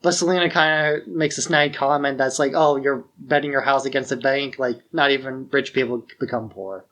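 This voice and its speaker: A man's voice: medium pitch, fairly even intonation, moderate pace, a bit bright. A man co-hosting a podcast, discussing films casually and informally, sometimes voicing imagined remarks as he explains. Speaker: podcast co-host.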